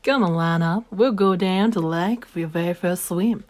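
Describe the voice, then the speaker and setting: A woman voicing a caring but stern mother duck in a storybook reading, lively and a little scolding. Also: on the low side, normal speed, close to an everyday voice. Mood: motherly.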